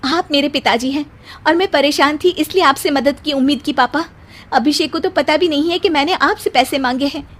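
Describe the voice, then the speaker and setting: A woman's voice: sits high, brisk, pitched above the performer's own voice, close to an everyday voice. A woman voicing a polite, earnest young daughter-in-law in a cartoon family story. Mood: hurt, firm. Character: gentle, dutiful young daughter-in-law, worried and self-sacrificing. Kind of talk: cartoon moral story voice acting.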